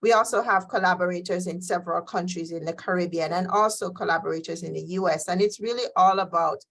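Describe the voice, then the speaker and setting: A middle-aged woman answers interview questions as a guest scientist. Her voice sits on the low side, and she pauses often with ums and uhs as she builds her points. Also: gravelly, fairly bright tone. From Jamaica. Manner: measured, with frequent um and uh fillers.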